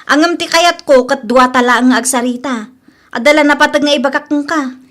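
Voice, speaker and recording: Woman acting a high-pitched, concerned Ilocano friend. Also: brisk, fairly bright tone. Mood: urgent. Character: concerned young woman, protective, disapproving friend. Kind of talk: audio comedy-drama acting.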